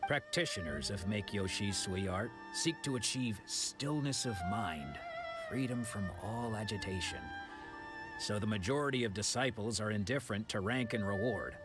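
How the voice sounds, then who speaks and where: An actor voicing a proud older male swordsman in a game, telling of his old training days in a measured, reflective way. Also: fairly low pitch, wildly swooping pitch. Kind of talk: video game voice acting. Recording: game audio under background music.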